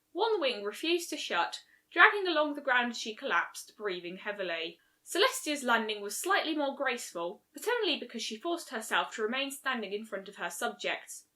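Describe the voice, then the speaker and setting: A woman reads a fan fiction story aloud as narrator. Her voice sits a little high, and she follows the written text in a storytelling style. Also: pushed and bright.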